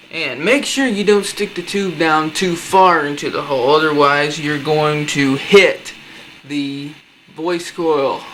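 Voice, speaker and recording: A man's voice: on the high side, a bit bright. A man giving a casual hands-on tutorial, informally talking through each step as he works. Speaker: presenter of a DIY build tutorial. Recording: noisy amateur home recording.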